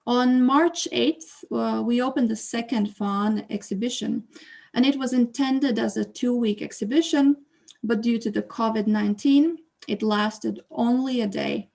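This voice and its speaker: A woman hosts an online art opening over a video call. Her voice sits a little high and carries a gravelly fry. She reads smoothly from a prepared statement, then slows into hesitant fillers when she speaks off the cuff. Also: darkish tone. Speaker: exhibition host and curator.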